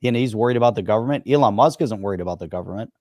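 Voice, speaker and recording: A man with a lowish voice, recorded dry and close, chatting easily and chuckling along with his co-host. His talk is loose and conversational. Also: rapid-fire, a little mellow, no echo. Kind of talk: casual political chat.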